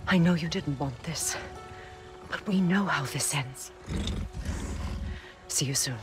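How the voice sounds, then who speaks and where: A woman's voice: low-pitched, slow pace, music in the background. A woman playing a stern, resolute warrior queen goddess in a video game.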